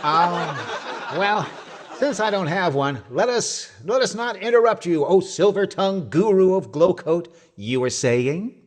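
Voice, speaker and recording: A man's voice: sits high, expressive, unhurried. A man playing a wisecracking, bumbling older husband in an old-time radio comedy, with a wry, folksy, mock-grandiose manner. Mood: wry, joking.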